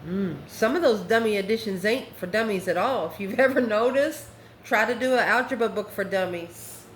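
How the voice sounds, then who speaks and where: In an echoey room with clear background noise, a woman talks her way through a tarot reading as the host. She speaks at a middle pitch in a chatty, informal way, drifting into asides as she goes. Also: normal speed. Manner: chatty, informal, chuckles often, rambling asides. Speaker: tarot reader and channel host. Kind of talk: casual, conversational tarot reading.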